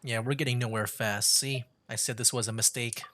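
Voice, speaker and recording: Very low-voiced woman streaming a game. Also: steady tone, fairly quick, noisy background. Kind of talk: casual live gameplay commentary.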